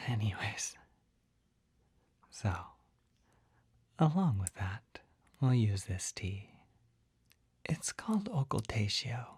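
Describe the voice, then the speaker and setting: A young man playing a shy, skittish, kindly potion shopkeeper in an ASMR roleplay, whispering gently and hesitantly close to the microphone. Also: fairly low pitch, very animated, takes it slowly, pauses often, no room sound, heavily acted. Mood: earnest, nerdy. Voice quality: breathy, whispery. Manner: hesitant, gentle, soft-spoken.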